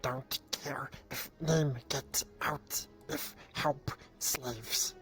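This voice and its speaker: The hushed stranger in a fantasy game's back alley, very deep for a woman, dragging out broken, terse phrases, played by a woman. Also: fairly even intonation, faint music behind, full character voice. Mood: guarded, resolute. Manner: broken clipped sentences, halting, hushed. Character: terse, halting fantasy outsider who hates slavers. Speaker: roleplayer in a live VR fantasy roleplay.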